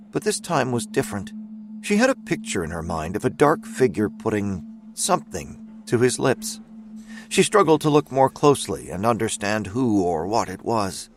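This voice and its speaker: A man's voice: lowish voice, very animated, normal speed. A man narrating a scripted audio drama as its storyteller.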